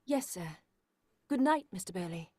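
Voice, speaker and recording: A woman's voice: fairly low pitch, animated, moderate pace, darkish tone, husky, dry recording. A woman playing a fretful, deferential household servant, theatrical, in an old-time radio horror play. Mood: concerned.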